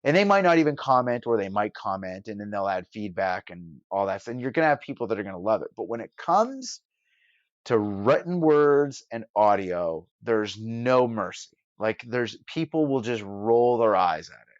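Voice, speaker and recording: A man's voice: fairly low pitch, sing-song, normal speed, no room sound, dull audio. A man talking casually as an interview guest, explaining his production work in an emphatic way, building his points in lists. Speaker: radio broadcaster and audio drama producer, podcast guest.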